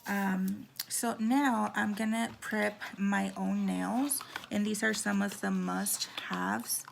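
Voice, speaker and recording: A woman with a hoarse, raspy voice giving a casual at-home nail how-to, chatty with lots of fillers. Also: mid-range voice, fairly even intonation, slow pace, a little hiss or hum. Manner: chatty, filler-heavy, drops into whispers. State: hoarse from talking. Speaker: nail tutorial vlogger.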